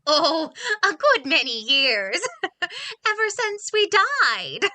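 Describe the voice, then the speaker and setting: A woman's voice: very high-pitched, very animated, drawn-out words, bright, forceful tone, no room sound, full character voice. A woman acting a cheery, doting old grandmother in a radio play, fussing and soothing.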